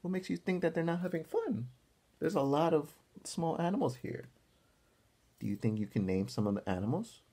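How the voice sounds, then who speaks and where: A man reading a picture book aloud to young children as their teacher, expressively, pausing to explain and ask simple questions. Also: a little high, expressive, warm tone, slightly rough. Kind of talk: animated read-aloud with questions to young listeners.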